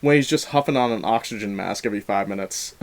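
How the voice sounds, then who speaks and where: A man's voice: fairly low pitch, quick pace. A man giving conversational film review commentary as the host of a movie discussion show.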